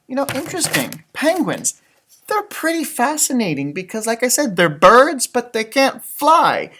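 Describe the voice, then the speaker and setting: Man, very animated intonation, children's storybook read-aloud, expressive, dramatic emphasis, casual explanatory asides. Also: sits high. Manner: expressive, dramatic delivery with sound effects and exclamations; chatty, simple explanations addressed to children. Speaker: elementary school music teacher reading storybooks aloud.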